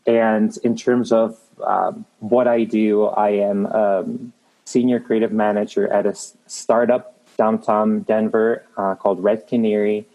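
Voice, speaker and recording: A man's voice: fairly low pitch, level tone, unhurried, dark tone, poor audio. A man in his thirties from the Czech Republic with a Czech accent, a guest in an interview speaking in an earnest, encouraging way with frequent restarts. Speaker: guest, creative manager. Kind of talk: motivational interview talk.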